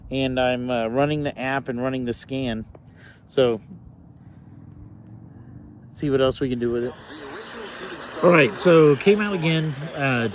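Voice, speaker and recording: A man giving a casual hands-on demonstration as a hobbyist, hesitant and thinking aloud as he goes. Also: medium pitch. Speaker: hobbyist reviewer demonstrating a shortwave radio.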